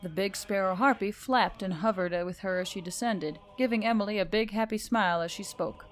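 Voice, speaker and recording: A woman's voice: mid-range voice, fairly quick, slight background noise. A woman reading scripted fiction as a storyteller, in a dramatic narrating style.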